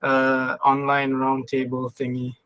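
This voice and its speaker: A man's voice: medium pitch, monotone, measured pace, fairly bright tone, no room sound. A man hosting an online roundtable in a casual, chatty style. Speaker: roundtable host. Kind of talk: casual chat. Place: online roundtable call, dry sound.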